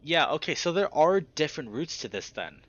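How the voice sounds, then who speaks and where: A man's voice: fairly high pitch, sing-song, a little fast, fairly bright tone, dry recording, muffled recording. A man reading a visual novel aloud expressively and breaking off for casual commentary. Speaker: gaming channel host.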